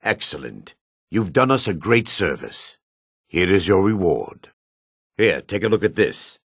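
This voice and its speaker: Husky, low-voiced proud dark elf. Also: male voice, sing-song, a little slow, no room sound, clean studio sound, exaggerated voice. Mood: appraising. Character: proud, hard-edged male dark elf. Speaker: video game voice actor. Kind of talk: fantasy game character lines.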